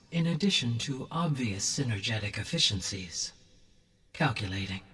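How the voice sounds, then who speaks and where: A man acting a calm, analytical artificial intelligence with precise, measured, formal delivery in a video game. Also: medium pitch, far from the mic, natural voice.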